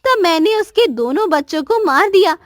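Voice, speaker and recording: A woman's voice: very high voice, lively intonation, moderate pace, no echo. A woman voicing a remorseful young queen and anxious mother in a cartoon story, speaking in an earnest, pleading, dramatic way. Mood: confessing. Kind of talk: Hindi cartoon story dialogue.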